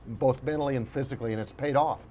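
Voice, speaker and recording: A man co-hosting a casual sports talk show, analysing in a halting way, searching for words and repeating himself. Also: mid-range voice, dark tone, slight background noise.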